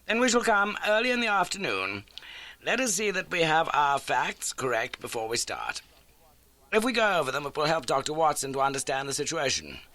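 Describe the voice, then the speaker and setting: A man voicing a brisk, courteous gentleman detective in an audiobook, questioning in precise, formal phrases. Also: a little high, big pitch swings, voice pushed up, exaggerated voice. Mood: brisk, inquisitive. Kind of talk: audiobook character acting.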